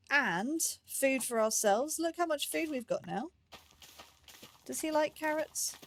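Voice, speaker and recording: A woman's voice: on the high side, a little hiss or hum. A woman giving casual, chatty let's play commentary, thinking aloud with playful, cutesy wording.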